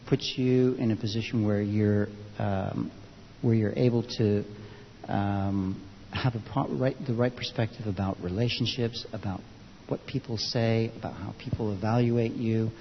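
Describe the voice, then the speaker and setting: Low-voiced man in an echoey hall. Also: fairly even intonation, moderate pace. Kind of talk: thoughtful unscripted talk to a group. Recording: dull, hissy, echoey hall recording.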